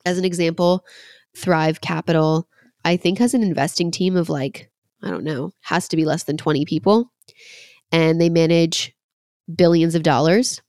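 A woman's voice: deep voice, normal speed, no room sound, clean studio sound. A woman in her twenties hosting a solo podcast, talking casually with lots of "like" fillers and sounding a bit congested from a cold. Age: 20s. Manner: chatty, relaxed, frequent "like" fillers. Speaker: podcast host.

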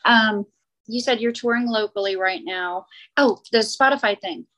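A woman's voice: a little high, a bit bright. A woman co-hosting a podcast in casual chat, talkative and rambling, laughing easily. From southern United States. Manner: chatty, rambling, quick laughs. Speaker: podcast co-host.

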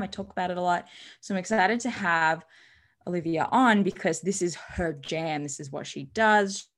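A woman's voice: on the low side, fairly bright tone. Upbeat woman hosting a podcast. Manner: chatty, loose and enthusiastic, with fillers and restarts. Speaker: podcast host. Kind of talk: casual interview chat.

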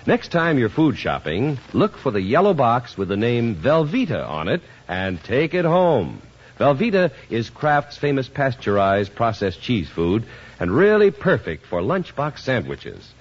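A man's voice: medium pitch, very animated, measured pace, breathy edge. A man working as a commercial announcer, reading scripted 1950s radio advertising with emphatic, folksy salesmanship. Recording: muffled 1950s radio recording.

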